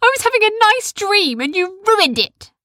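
Woman voicing a high little girl, lively, bright, storybook, dry sound. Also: moderate pace, dry recording, full character voice. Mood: startled, indignant. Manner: high-pitched, animated and indignant. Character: excitable young girl.

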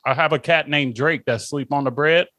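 Bright, jokey podcast co-host man. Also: on the high side, moderate pace, dry recording. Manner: profane, jokey, does silly voice bits. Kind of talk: casual chat.

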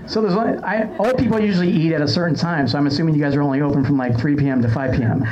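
A woman's voice: low-pitched, rapid-fire, warm tone, dull audio. A woman hosting a live comedy talk show from a stage, as a podcast host. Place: stage in front of an audience. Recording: live stage recording, clear background noise.

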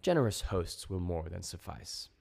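Man performing a bodiless alien overlord, cold, formal, slow declarations, low male pitch, audiobook villain. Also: sing-song, creaky, darkish tone, exaggerated voice. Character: disembodied alien hive voice, cold, formal, imperious.

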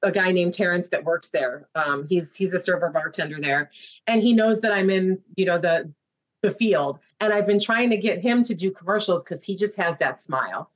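A woman's voice: on the low side, normal speed, poor audio. A woman in her thirties, an actress guest chatting casually on a podcast and acting out other people's lines as she tells stories. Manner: animated storyteller acting out quotes.

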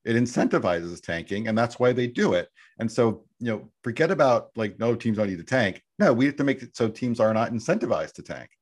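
A man's voice: medium pitch, expressive, a little fast, hardly any pauses. A man as a podcast guest, talking earnestly and restarting his sentences. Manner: earnest, repeats words when starting a point. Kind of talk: casual podcast chat.

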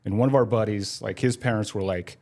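A man's voice: lowish voice, steady tone, fairly quick, dark tone. A man in his forties, a podcast guest, telling stories casually and rambling, with lots of "like" fillers. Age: 40s. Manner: rambling, heavy use of "like" fillers. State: laid up with a broken leg. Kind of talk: casual storytelling.

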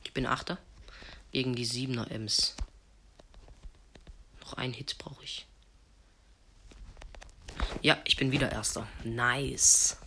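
A man with a cold giving casual, slangy running commentary on a mobile game he is playing, sometimes dropping to hushed asides. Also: mid-range voice, slight background noise. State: has a cold. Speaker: gaming video host. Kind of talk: live gameplay commentary.

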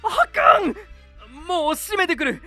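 A man playing an excitable, easily startled young father in an amateur radio drama, talking casually and animatedly. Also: extremely high pitch, takes it slowly, soft background music, exaggerated voice. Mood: startled, panicky.

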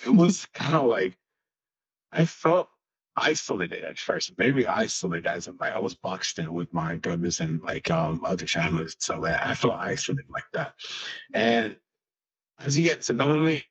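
A man from Haiti in his twenties, a guest musician speaking haltingly and searching for words in a casual interview. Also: low voice, sing-song. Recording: dull lo-fi sound.

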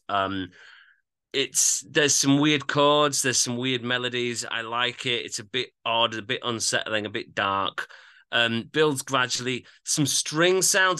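A man from England with an English accent, chatting casually as he reviews music as a podcast co-host, measured and hedging in his opinions. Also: medium pitch, pushed and bright, clean tone.

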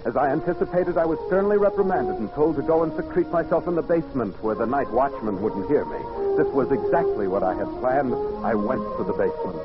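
A muffled vintage radio drama with music underneath carries an actor as an earnest, intense man in love. His theatrical delivery swings widely in pitch, like a secret journal shared aloud. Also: lively intonation, a little fast, hardly any pauses, lightly acted. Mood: secretive, tense. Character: earnest, lovestruck male diarist hiding in a department store. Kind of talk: 1940s radio acting, narrated journal and dialogue. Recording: muffled old-time radio recording, music behind.

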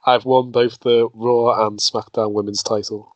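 A man's voice: medium pitch, flat delivery, measured pace, no echo, lo-fi sound. A man hosting a casual quiz game, reading clues aloud in first person and laughing often. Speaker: quiz host.

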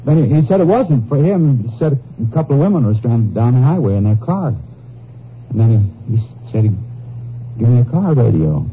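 An actor playing a nervous, evasive army soldier in a 1950s radio drama, hesitant, stammering and defensive. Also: male voice, mid-range voice, very animated, moderate pace, close to an everyday voice. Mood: hesitant, explaining. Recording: muffled, noisy old 1950s radio recording.

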